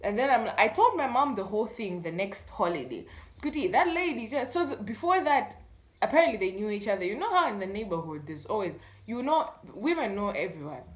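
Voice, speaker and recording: A woman's voice: mid-range voice, very animated, brisk. A young woman with a Malawian accent telling a story in casual chat, animatedly acting out the dialogue as she goes. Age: young adult. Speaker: YouTube storyteller. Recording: echoey room sound.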